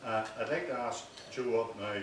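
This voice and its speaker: A man giving an informal, measured introduction as host of an event, halting now and then. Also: mid-range voice. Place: echoey indoor hall at a local presentation. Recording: very echoey, distant, loud noise.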